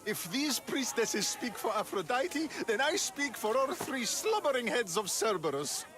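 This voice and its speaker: An actor playing a fanatically devoted, excitable cult follower in a video game, pompous and fervent. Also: male voice, very high-pitched, unhurried, over music, full character voice. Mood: pleading, reverent. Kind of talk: video game cutscene acting.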